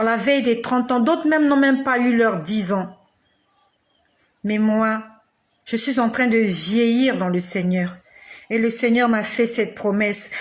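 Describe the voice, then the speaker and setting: A woman in her forties preaching a sermon straight to the listener, with slow, emphatic repetition and dramatic pauses. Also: on the high side. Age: 40s.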